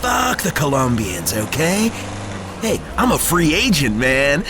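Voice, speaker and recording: A man's voice: a little high, big pitch swings, measured pace, background noise. A man playing a cocky, reckless small-time criminal with a swaggering, slangy way of talking in an audio drama. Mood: cocky, dismissive.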